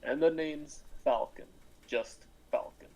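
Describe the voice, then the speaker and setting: A man playing a mysterious, philosophical entrepreneur in a screenplay read, grandiose and deliberate. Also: fairly high pitch, vocal fry, a little mellow, slightly rough, heavily acted, low-quality recording. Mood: solemn, probing. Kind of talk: script table read for a screenplay.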